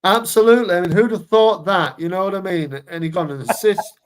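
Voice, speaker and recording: A man's voice: high voice, brisk. A man co-hosting a casual football podcast, rambling along with repeated words and fillers. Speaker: podcast co-host.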